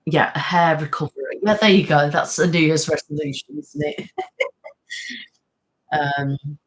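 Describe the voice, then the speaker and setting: A woman's voice: low-pitched, unhurried. A woman, chatting casually on a live stream and answering viewers' comments in a rambling, friendly way. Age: 30s. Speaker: ASMR channel host.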